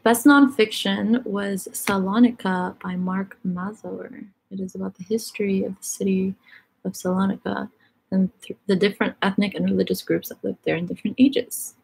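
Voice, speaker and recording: Woman host, Egyptian Arabic first language, loose chatty live-stream delivery, gravelly and a bit husky. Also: mid-range voice, fairly even intonation, moderate pace, a little mellow, low-quality recording. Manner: chatty, filler-heavy, reads questions aloud. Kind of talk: casual live-stream chat reading viewer comments.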